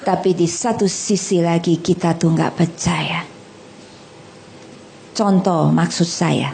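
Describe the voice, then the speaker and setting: A middle-aged woman preaching to a congregation in a hall. She is animated and blunt, jokes in casual slang and keeps asking the audience questions. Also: on the low side, slow pace, vocal fry, slight background noise. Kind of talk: lively sermon.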